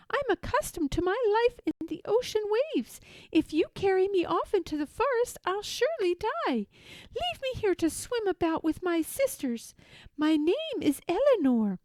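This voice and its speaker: Lady doing a little mermaid girl, really high voice, gentle n pleading, polite storybook talk. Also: no room sound, heavily acted. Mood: earnest, grateful. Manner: polite, pleading, old-fashioned storybook phrasing.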